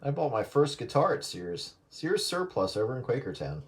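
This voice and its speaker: Man with mid-pitched voice, chatting casually. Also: very animated, normal speed, background noise. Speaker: radio operator. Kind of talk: casual reminiscing chat.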